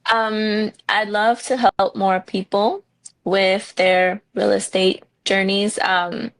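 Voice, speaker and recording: A woman in her thirties gives interview answers in a frank, easygoing way, often pausing on "um". Her voice has a gravelly fry to it. The room sounds dry and close. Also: fairly low pitch, no echo. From New Jersey. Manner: frank, relaxed, many ums. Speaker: real estate broker, guest.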